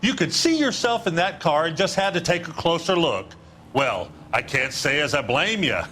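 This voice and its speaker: An actor playing a slick, evasive 1940s American used-car dealer in a crime game, with smooth, defensive salesman patter. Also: fairly high pitch, very animated, normal speed, bright, forceful tone, slight background noise. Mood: glib, ingratiating. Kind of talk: dramatic game acting, period crime story.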